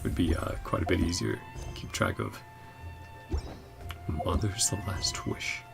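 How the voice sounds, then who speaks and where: Low, creaky-voiced man streaming a game. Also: fairly even intonation, unhurried. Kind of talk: casual live-stream game reaction commentary. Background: faint game music.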